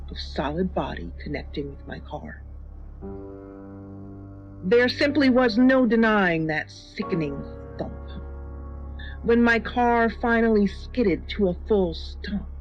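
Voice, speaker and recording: A woman reading a scripted first-person horror story in a measured, dramatic, suspenseful manner. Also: medium pitch. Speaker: story reader. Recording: dull lo-fi audio with music.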